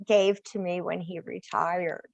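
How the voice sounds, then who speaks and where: An older woman hosting a conversational interview, speaking in a halting way with phrases that trail off. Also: fairly low pitch, fairly even intonation, gravelly, no room sound, dull audio. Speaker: host interviewing guests.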